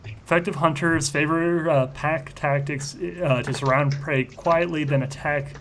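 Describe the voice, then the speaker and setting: A man's voice: fairly high pitch, steady tone, a little slow, a bit bright. A man plays a tabletop roleplaying game in casual conversation. His delivery halts and stammers slightly as he explains or reads aloud. There is faint noise on the recording. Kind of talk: casual group roleplaying chat.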